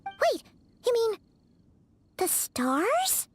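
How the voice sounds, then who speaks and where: A woman voicing a tiny, childlike fairy companion in a fantasy game, with a squeaky voice and an excitable, nosy manner. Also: very slow, slight background noise, heavily acted. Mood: eager. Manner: excitable, speaks of self in third person. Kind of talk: scripted fantasy game dialogue.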